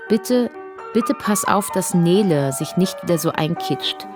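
A woman's voice: on the low side, expressive, unhurried, music in the background. A young woman telling her family history in a chatty, rambling way, often correcting herself. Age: young adult. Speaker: performer narrating her family story. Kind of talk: theatrical monologue.

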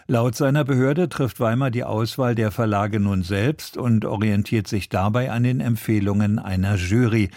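Low-pitched male news reader. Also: a little mellow, dry recording, clean studio sound. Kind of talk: scripted news and weather reading.

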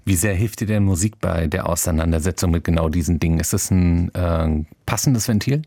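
A man interviewing a musician, asking calm, probing questions. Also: low voice, brisk, dry recording, clean studio sound. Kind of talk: radio interview.

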